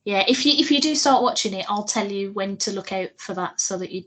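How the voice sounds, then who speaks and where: A British woman with a husky voice, co-hosting a podcast in casual, giggly, self-mocking and sweary chat. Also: mid-range voice, fairly quick, a bit bright. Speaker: podcast co-host.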